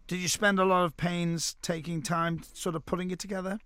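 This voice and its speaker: A man's voice: high voice, flat delivery, fairly quick, fairly bright tone, no room sound. A middle-aged man hosting a radio interview, chatty and rambling, often repeating his words. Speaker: radio presenter.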